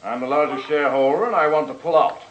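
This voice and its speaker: A man's voice: a little high, fast, natural voice. An actor playing a brash, domineering businessman villain who passes himself off as American, in a radio drama. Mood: smug, businesslike. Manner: blunt, domineering. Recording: echoey room, dull and noisy.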